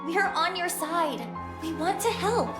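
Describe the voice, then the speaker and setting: An actress voicing an earnest, caring teenage heroine in an English game dub. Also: female voice, very high voice, expressive, a little slow. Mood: reassuring. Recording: echoing voice under loud music.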